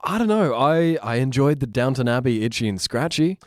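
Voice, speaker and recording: A man's voice: medium pitch, expressive, brisk, no room sound, studio quality. A man speaking casually as a podcast guest, in brief, hesitant remarks. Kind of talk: casual chat.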